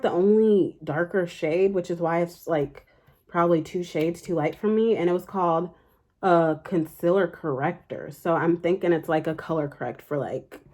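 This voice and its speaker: A young adult woman chatting casually through a makeup tutorial in a fairly deep voice, rambling with lots of fillers. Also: darkish tone. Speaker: beauty vlogger.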